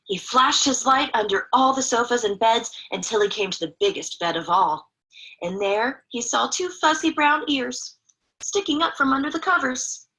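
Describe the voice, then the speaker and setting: Woman doing storytime for kids, bright kinda high voice, expressive n chatty. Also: moderate pace, poor audio. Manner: chatty asides to young listeners. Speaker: children's story reader. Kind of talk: expressive read-aloud of a picture book.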